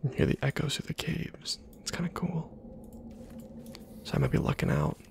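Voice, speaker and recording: A man giving ASMR gameplay commentary in a soft half-whisper, musing casually to himself in a hushed way. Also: fairly high pitch, huge pitch swings, moderate pace, vocal fry. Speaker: video game streamer.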